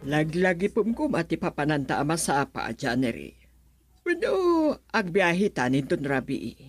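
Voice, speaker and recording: A woman with an Ilocano Filipino accent and a husky voice acting in a radio drama as a practical older mother of a grown son. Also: sits low, big pitch swings, normal speed, soft background music. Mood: practical, insistent.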